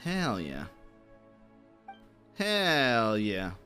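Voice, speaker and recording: A man's voice: medium pitch, wildly swooping pitch, drawn-out words, sharp tone, faint music behind. A man streaming a video game, reacting casually in slangy, informal talk. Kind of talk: casual live gameplay reaction.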